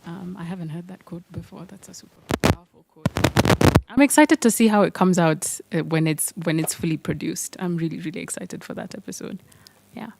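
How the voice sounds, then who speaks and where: A woman's voice: lowish voice, fairly quick, gravelly, darkish tone, slight background noise. A woman giving informal feedback in a casual group conversation. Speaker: workshop participant giving feedback.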